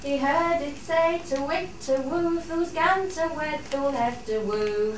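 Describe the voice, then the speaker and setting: A woman's voice: high-pitched, slow pace. A woman with a Cumbrian accent singing a folk ballad in dialect with a refrain. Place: studio theatre. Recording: very echoey, distant, faint noise.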